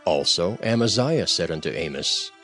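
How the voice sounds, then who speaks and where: A man's voice: sits low, animated, normal speed, fairly bright tone, soft background music. A man narrating scripture from a script as the narrator of a dramatized audio reading.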